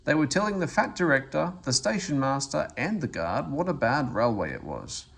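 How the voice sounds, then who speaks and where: A man reading a children's storybook aloud as an expressive narrator. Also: mid-range voice, moderate pace, gravelly, breathy edge. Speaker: story reader.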